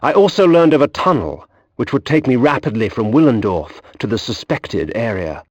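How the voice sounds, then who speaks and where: A proud undead nobleman narrating a game. His mid-pitched voice rises and falls dramatically, haughty, grandiloquent and full of bitter disdain. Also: very animated, moderate pace, a little mellow, breathy edge, no echo, dull audio. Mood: brooding, disdainful. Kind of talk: theatrical video game narration.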